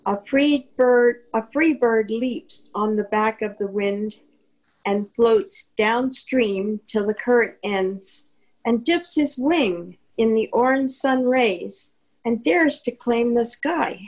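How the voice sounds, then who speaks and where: Unhurried woman reading verse aloud. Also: fairly high pitch, low-quality recording. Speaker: poetry reader at a group reading. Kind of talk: measured poetry reading aloud.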